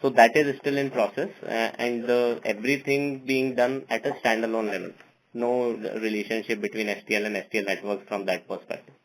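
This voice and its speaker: Male finance executive, medium pitch, explanatory and halting on an investor call. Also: fairly even intonation, low-quality recording. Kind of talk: earnings call answers.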